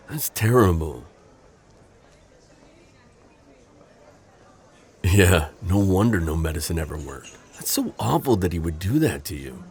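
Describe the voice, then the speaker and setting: Male actor as protective guy friend, deep husky voice, earnest, hesitant, stammering, naturalistic audio drama. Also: a little mellow. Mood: relieved, sympathetic.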